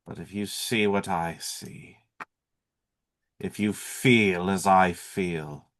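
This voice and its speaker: A man performing a theatrical monologue as an eloquent, defiant masked vigilante with measured, formal oratory. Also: low voice, steady tone, a bit bright. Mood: solemn, rousing.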